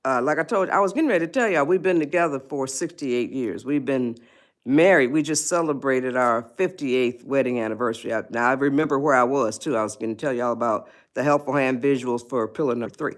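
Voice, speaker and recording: A woman of eighty-one, chatting slowly to viewers on her live stream, often losing her place and laughing. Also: very deep voice. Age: 80s.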